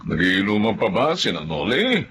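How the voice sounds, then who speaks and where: A man's voice: fairly low pitch, wildly swooping pitch, normal speed, fairly bright tone, husky, exaggerated voice. A man playing a stern, wealthy father and employer who gives orders to his housemaid. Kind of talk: radio drama acting.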